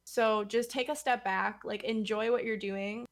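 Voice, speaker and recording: A woman's voice: on the high side, steady tone, unhurried. A woman guest on a streaming talk show, chatting casually and rambling with many fillers. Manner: rambling, many likes and ums. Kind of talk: casual podcast chat.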